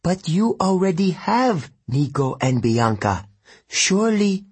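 Man doing Maria, high Italian mother. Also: very animated, husky, no echo, exaggerated voice. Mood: defiant. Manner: gentle, firm, slow. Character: Italian mother of two, gentle, stubborn woman.

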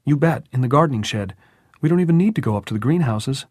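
Man voicing a high, excitable young schoolboy. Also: fast, no echo, clean studio sound, lightly acted. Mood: eager, urgent. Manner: animated, impatient, urgent speech. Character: excitable, grieving adolescent schoolboy, brittle and eager. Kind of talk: audiobook character voice.

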